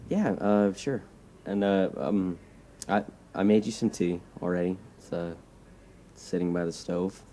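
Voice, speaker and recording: Guy acting awkward young man, low dark voice, hesitant, audio drama, faint noise behind. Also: unhurried. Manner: hesitant, bashful. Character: awkward, eager-to-please young man Julius.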